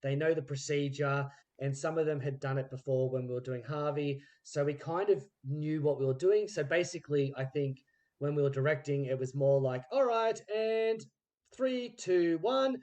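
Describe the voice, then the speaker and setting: Higher-voiced man, chatty podcast host. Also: normal speed, darkish tone. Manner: acts out quoted dialogue while telling stories. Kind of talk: casual chat, lively anecdotes. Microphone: recorded remotely on a phone.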